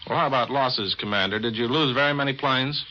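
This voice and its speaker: A man's voice: mid-range voice, brisk, pushed and bright. An American man working as a news correspondent and interviewer on 1940s radio. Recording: dull vintage 1940s radio recording.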